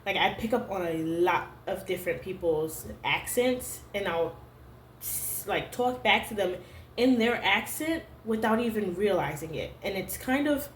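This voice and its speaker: A young adult woman vlogging to camera at home, talking in a halting, searching way full of "like" fillers. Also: medium pitch, lively intonation, a little hiss or hum. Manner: hesitant, halting, heavy use of "like" fillers. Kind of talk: personal confessional vlog. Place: room at home. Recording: roomy home echo.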